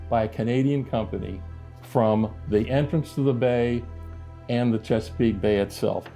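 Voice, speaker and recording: A man's voice: medium pitch, moderate pace, warm tone, faint music behind. A man making an indignant advocacy presentation, pressing his points with rhetorical questions. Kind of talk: advocacy presentation, explaining figures.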